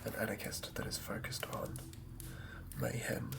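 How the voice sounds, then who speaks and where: A man giving a whispered, improvised ASMR narration as a game master, close to the mic, murmuring in a halting way as he thinks aloud. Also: poor audio.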